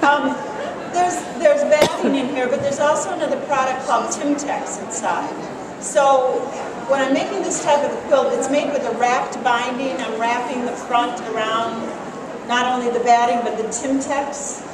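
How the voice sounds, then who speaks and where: A middle-aged woman from Colorado talks about her quilts in a loose, self-deprecating way, pausing often on fillers. Her voice sits a little high. She is picked up from a distance in a very echoey show hall with loud noise all around. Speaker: quilt artist and teacher. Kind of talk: casual demonstration and interview.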